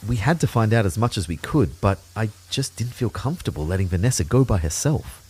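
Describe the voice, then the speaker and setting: A man's voice: lowish voice, lively intonation, fairly quick, a little mellow, breathy edge. A man playing an anxious, introspective man who narrates his own story in a reflective, wry way for an audio drama. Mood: worried. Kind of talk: first-person scripted audio drama narration.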